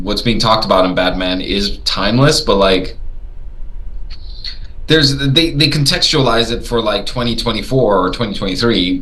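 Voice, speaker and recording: A man from Tanzania co-hosting a casual movie discussion, hesitant and repeating words as he thinks. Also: rough recording. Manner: hesitant, repeats words, lively rises in pitch. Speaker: podcast co-host.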